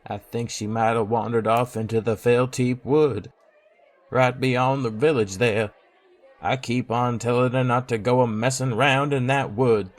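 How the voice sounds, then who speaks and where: A man's voice: medium pitch, steady tone, a little slow, lightly acted. A man playing a humble, distraught elderly village father with folksy rustic speech in a fantasy audio drama. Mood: anxious, defensive. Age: older.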